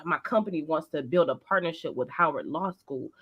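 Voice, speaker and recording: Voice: medium pitch, brisk, pushed and bright, slightly rough, lo-fi sound. A podcast host talking casually and informally, with some swearing.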